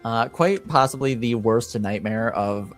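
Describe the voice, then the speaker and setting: A man speaks as the game master of a streamed roleplaying show, at a middling pitch. His delivery is slow and casual, full of hesitant pauses, with faint music playing underneath.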